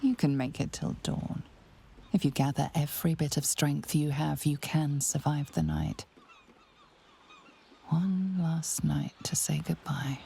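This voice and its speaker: A woman playing a solemn, intimate storyteller, narrating a fantasy game in a measured, hushed manner. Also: sits low, steady tone, creaky, breathy edge, heavily acted.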